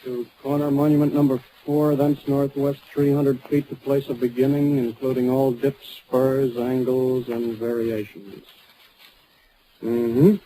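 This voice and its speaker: An actor playing a polite middle-aged county clerk, genial and a bit fussy, in a 1940s radio drama. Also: male voice, mid-range voice, level tone, a little slow, dark tone, natural voice, rough recording. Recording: old radio recording with faint noise.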